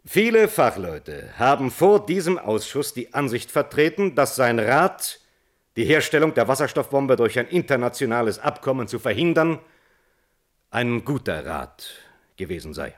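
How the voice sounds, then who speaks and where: An actor playing an earnest defence lawyer, formal and deliberate, making a plea in a German radio drama. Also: male voice, medium pitch, big pitch swings, close to an everyday voice. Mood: earnest, insistent. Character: measured, earnest defence lawyer pleading at a hearing.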